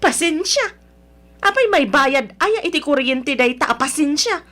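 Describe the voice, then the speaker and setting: A woman's voice: high-pitched, lively intonation, a little slow, a bit bright. A woman with an Ilocano Filipino accent playing a nagging, scornful middle-aged mother-in-law in a radio drama, sarcastic and demanding. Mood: scornful, scolding. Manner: berating, sarcastic.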